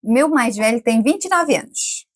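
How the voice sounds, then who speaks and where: A woman's voice: on the high side, lively intonation, normal speed. A woman in her forties, a podcast guest, chatting casually, laughing often and tagging questions onto her sentences. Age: 40s. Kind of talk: casual interview chat.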